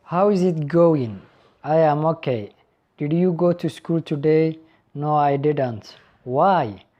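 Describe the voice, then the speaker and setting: A young Somali-accented man reads short English practice dialogues and then explains them in his own language. He has a mellow, dark voice and a patient teacher's manner. He says each English phrase slowly and a little louder, then drops to a quieter voice for the explanation. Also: fairly high pitch, lo-fi sound. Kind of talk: language lesson, reading sample dialogues and translating.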